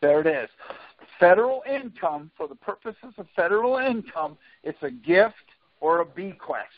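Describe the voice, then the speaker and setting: A man's voice: high voice, lively intonation, normal speed. A man on a group conference call, explaining argumentatively, insistent, often interrupting and repeating himself. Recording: dull lo-fi conference call audio.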